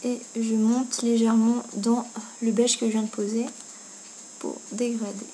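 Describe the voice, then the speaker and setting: High-voiced woman, casual tutorial. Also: little pitch movement, measured pace, warm tone, poor audio. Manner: informal, thinking aloud as she works. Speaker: makeup tutorial vlogger. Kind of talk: casual step-by-step tutorial chat. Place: indoors at home. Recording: noticeable background noise, amateur home video.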